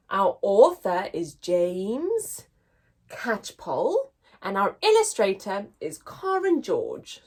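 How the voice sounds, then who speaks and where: A woman presenting a children's story time, reading a picture book in an expressive, deliberate, teacher-like way. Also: medium pitch.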